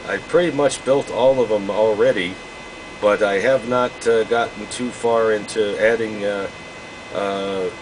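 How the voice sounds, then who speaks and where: A man with a low voice chats casually as a hobby radio operator. He speaks haltingly, rambles and repeats himself. A steady, noticeable hum runs behind his voice. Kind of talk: casual radio contact chat. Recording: constant hum and noise behind voice.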